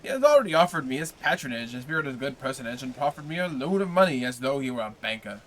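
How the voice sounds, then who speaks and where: A man reading aloud plays a blustering, envious neighbor from a storybook, all gossip and grudges. His voice comes out bright and edgy as he complains. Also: medium pitch, sing-song, quick pace, slight background noise. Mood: indignant.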